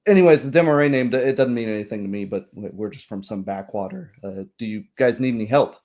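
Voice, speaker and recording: Man playing hesitant, low-voiced town guard. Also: a little mellow, natural voice. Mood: modest, helpful. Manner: hesitant, halting, folksy. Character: greying, hesitant small-town guard deputy, friendly and modest.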